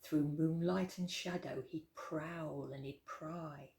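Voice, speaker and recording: A woman reading a rhyming children's picture book aloud as a storytime host, with expressive, dramatic emphasis. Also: sits low, slow pace. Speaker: library storytime reader. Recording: roomy, echoey indoor sound.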